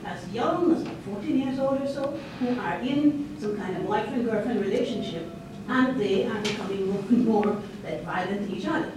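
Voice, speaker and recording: A woman from Guyana asks a question from the audience, hesitating now and then. She is far from the microphone in a strongly echoing room, and a clear hiss runs underneath. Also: a little high, lively intonation, moderate pace, few pauses. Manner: hesitant, with fillers. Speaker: audience member asking a question. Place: university lecture room. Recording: echoey room, far from mic, audible hiss.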